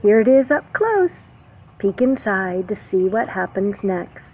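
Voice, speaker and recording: Slow-paced preschool teacher woman, tinny recording. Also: mid-range voice, slightly rough. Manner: expressive, simple teacherly phrasing with questions to children. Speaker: preschool teacher reading a picture book aloud. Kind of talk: storytime read-aloud for young children with simple explanations. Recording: phone-like narrow band, faint background noise.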